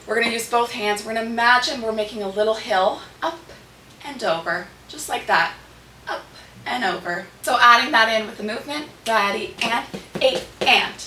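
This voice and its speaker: A slightly hoarse woman hosts a dance tutorial, giving step-by-step instructions and counts in short rhythmic cues. Her medium-pitched voice comes from a distance in an echoey room, with noticeable background noise. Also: moderate pace, bright, forceful tone. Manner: clipped rhythmic counting and cueing of moves.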